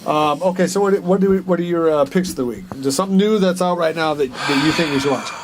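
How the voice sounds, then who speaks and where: A man's voice: on the high side, fast talker, background noise. A man co-hosting a movie show in casual, slangy, sweary chat. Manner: profane, slangy. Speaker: co-host of a movie discussion show. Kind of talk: casual chat about films.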